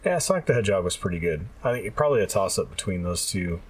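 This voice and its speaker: A man's voice: deep voice, big pitch swings, quick pace, husky, distant mic. An adult man co-hosting a podcast in casual conversation. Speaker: podcast co-host. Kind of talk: casual chat.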